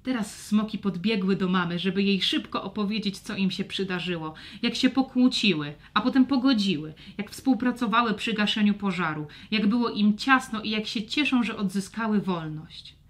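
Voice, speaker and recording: A woman's voice: medium pitch, normal speed, few pauses. A woman narrating a scripted children's story expressively.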